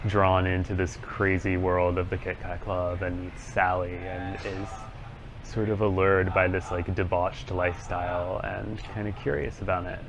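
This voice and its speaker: A man's voice: low-pitched, little pitch movement, moderate pace, a crowd in the background. An American man, a stage actor, talking casually in a cast interview. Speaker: musical theatre actor. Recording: dull sound, faint background noise.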